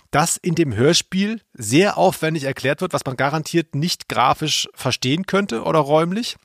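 A man chats as a podcast host, explaining and commenting with amusement. His voice sits a little high, and the close microphone gives a dry sound free of room echo. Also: fairly bright tone. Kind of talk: conversational commentary on radio plays.

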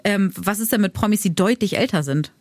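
A young woman co-hosting a radio talk show, chatty and quick to get worked up into a rant. Her voice sits at a middle pitch for a woman. The sound is close and dry. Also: darkish tone, no room sound. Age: young adult. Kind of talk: casual chat. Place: radio studio.

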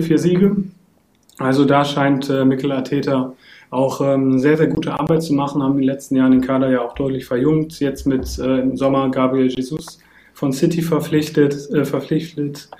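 A man's voice: mid-range voice, level tone, moderate pace, dark tone, echoey room. A man co-hosting a casual football podcast, talking hesitantly with many fillers. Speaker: podcast co-host.

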